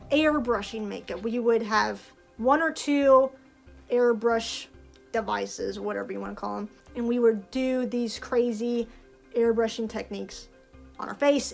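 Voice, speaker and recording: A chatty young woman talking casually to camera, with a fairly high voice. She fills her sentences with easygoing filler words, and faint music plays behind her. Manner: chatty, with filler likes and laughs. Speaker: vlogger and festival performer.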